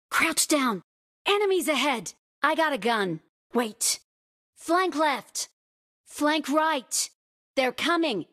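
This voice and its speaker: A high-voiced young-sounding female squadmate from a shooter game. She delivers tactical orders as short, clipped phrases, unhurried and spaced apart. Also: fairly bright tone, full character voice. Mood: calm, assured. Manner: clipped, isolated command phrases. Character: urgent female battle-royale squadmate calling tactical orders. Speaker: game voice-pack actor. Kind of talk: short scripted game callouts.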